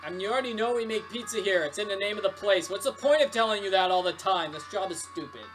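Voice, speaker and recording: A woman's voice: medium pitch, quick pace, soft background music, close to an everyday voice, rough recording. A woman voicing a fed-up, sarcastic young male pizza worker who complains bitterly in a story. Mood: sullen, sarcastic. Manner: exasperated, complaining.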